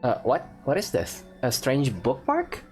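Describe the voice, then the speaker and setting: Low-pitched woman, animated gaming commentary. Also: a little slow, soft background music. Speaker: gaming video host. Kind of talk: casual let's play commentary.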